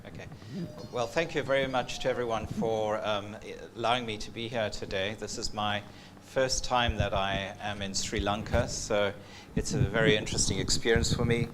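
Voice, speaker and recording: A man from Africa, opening a workshop talk as a relaxed, conversational storyteller who uses filler words. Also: on the low side, moderate pace, background noise. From South Africa. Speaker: workshop presenter. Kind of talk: conference talk opening with an anecdote. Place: workshop meeting room.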